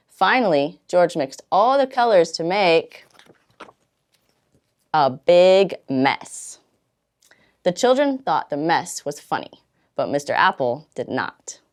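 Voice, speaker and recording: A woman with a slightly low voice reads a children's storybook aloud. Her intonation is very animated, and she uses dramatic pauses and stretched words to carry the story. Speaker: volunteer storytime reader.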